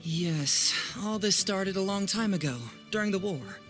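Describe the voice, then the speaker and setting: A man's voice: high-pitched, measured pace, soft background music. An actor playing a clever, playful young male detective in an anime video game, musing theatrically and teasingly. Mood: breezy, reminiscing.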